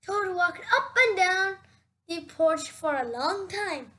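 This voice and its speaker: Slow-paced woman reading a children's story. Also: very high voice, lively intonation, sharp tone. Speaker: storybook reader. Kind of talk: children's read-aloud narration.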